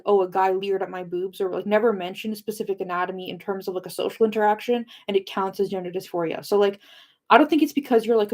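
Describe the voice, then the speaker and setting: A young woman hosting an informal livestream discussion. She speaks at a middle pitch in a loose, conversational way, wandering from one thought to the next as she addresses her viewers. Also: a little mellow. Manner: informal, rambling, digressive. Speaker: livestream host of a book discussion. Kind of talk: casual chat with live viewers.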